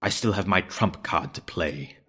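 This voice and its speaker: An actor playing a power-hungry villain in an audio drama, theatrical, impatient and menacing. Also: male voice, low voice, moderate pace, bright, forceful tone. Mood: scheming. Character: scheming, power-hungry male alien villain, the Mastermind. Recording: music under the voice.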